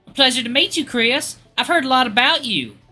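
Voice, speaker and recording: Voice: high-pitched, expressive, brisk, bright, forceful tone, soft background music, voice pushed up, full character voice. A performer voicing a friendly, folksy young female college student with a Southern accent, polite and eager to help. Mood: warm greeting.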